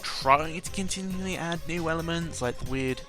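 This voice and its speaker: A man's voice: a little high, normal speed, bright, forceful tone. A man giving a casual, jokey audio log about video games, rambling and interrupting himself with exaggerated emphasis. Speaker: video game vlogger. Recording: music playing behind the voice. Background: clear background game music.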